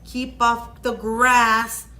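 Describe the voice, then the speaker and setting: Woman voicing a loud, grumpy, prickly cactus. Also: on the high side, flat delivery, very slow, faint music behind, voice pushed up, exaggerated voice. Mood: grumpy, yelling. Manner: loud, curt, scolding. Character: grumpy, prickly male cactus who yells at visitors.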